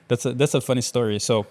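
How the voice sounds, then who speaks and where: A man's voice: mid-range voice, a little mellow. A man in his late twenties from Algeria, talking casually as a guest in a podcast interview.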